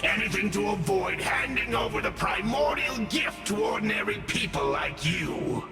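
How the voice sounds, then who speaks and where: A man's voice: high-pitched, animated, normal speed, vocal fry. A man voicing a menacing, contemptuous monstrous villain in a video game, declaiming in a grandiose, taunting way. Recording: cavernous reverb under game music.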